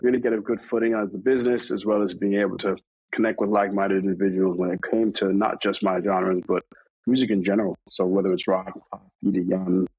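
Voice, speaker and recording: A man's voice: deep voice, level tone, fairly quick, a little mellow, breathy edge, no echo, low-quality recording. A man from New York talking casually in an interview, rambling with many fillers and restarts. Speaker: interview guest.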